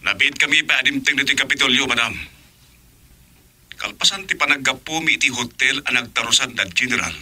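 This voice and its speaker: Dutiful henchman, very bright piercing voice, medium pitch, radio drama. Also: fairly quick. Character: obedient male henchman reporting to his madam. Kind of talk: Ilocano radio drama acting.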